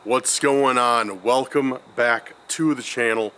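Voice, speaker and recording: A man's voice: medium pitch, slow pace, pushed and bright, clear, resonant tone, poor audio. A man with a congested voice, talking casually to his viewers outdoors in a forest, rambling with many fillers and restarts. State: congested from a cold. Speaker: paranormal vlogger. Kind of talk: casual vlog talk to camera.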